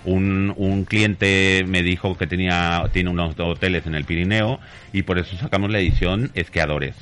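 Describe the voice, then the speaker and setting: Deep-voiced man in interview. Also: fairly even intonation, moderate pace, fairly bright tone. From Mexico. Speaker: craftsman interviewed as guest. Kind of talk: casual explanatory interview talk.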